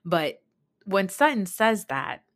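A woman's voice: medium pitch, sing-song, takes it slowly. A woman in her thirties hosting a podcast, giving casual, blunt and opinionated reality TV commentary with plenty of swearing and emphatic retelling. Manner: emphatic, mimics others when quoting. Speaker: podcast host.

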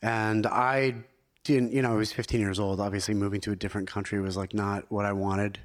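A man's voice: fairly low pitch, level tone, a little fast. A middle-aged man with an American accent telling his story casually and informally as an interview guest. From Pittsburgh, United States, partly Israel.

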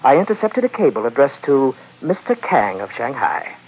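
An actor playing a sly, courteous Chinese merchant crime boss in an old-time radio drama, speaking formally with polite menace. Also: male voice, mid-range voice, big pitch swings, breathy edge. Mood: smooth, businesslike. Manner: formal, elaborately polite, unhurried. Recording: noisy, tinny vintage radio recording.

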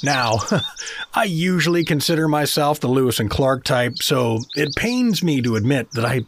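An actor playing a friendly, hapless middle-aged American dad from South Dakota, chummy and rambling, in a comedic fantasy audio drama. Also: male voice, medium pitch, animated, normal speed, noisy background, natural voice. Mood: chummy, sheepish.

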